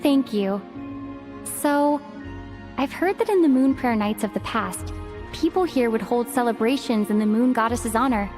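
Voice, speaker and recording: A woman playing a kind, calm young female traveler in a video game, earnest and composed. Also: fairly high pitch, moderate pace, natural voice. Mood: gentle, earnest. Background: music.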